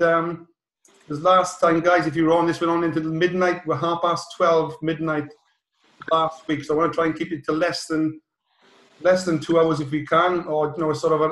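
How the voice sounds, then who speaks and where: Echoey, even-toned middle-aged British man. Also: a little high. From South Wales, UK. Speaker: host of an online presentation call. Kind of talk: informal webinar introduction. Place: small indoor office room, on a video call. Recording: roomy, echoey video call.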